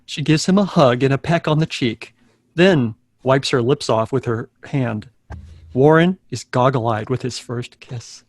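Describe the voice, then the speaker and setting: A man's voice: mid-range voice, a little slow, dry recording. A man reading stage directions as the narrator of a live sitcom table read.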